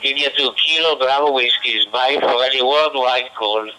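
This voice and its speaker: A man from northern Italy with an Italian accent, speaking English as a radio operator in formal, deliberate call exchanges over a digital two-way radio. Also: mid-range voice, sharp tone, rough recording. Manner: deliberate, spells call sign phonetically. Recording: digital walkie-talkie radio transmission.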